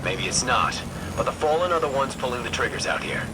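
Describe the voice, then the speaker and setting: A man's voice: medium pitch, lively intonation, brisk, very noisy, lightly acted. A man acting as a pragmatic, matter-of-fact military scout commander in a video game. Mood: brisk, businesslike. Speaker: squad commander giving orders over comms. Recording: loud music behind the voice.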